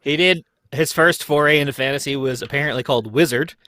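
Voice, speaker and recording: A man in his thirties talks in a casual, rambling storyteller's way with a chuckle in it. His voice sits at a middle pitch and is recorded dry and close. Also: fast, sharp tone, no echo. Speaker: podcast cast member. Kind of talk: casual chat. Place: basement.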